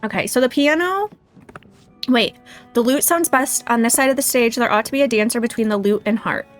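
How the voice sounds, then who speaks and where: Woman streaming a game, kinda high voice, chatty n self-deprecating, music in the back. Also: brisk. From United States. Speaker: game livestream host. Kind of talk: casual livestream chat and gameplay commentary.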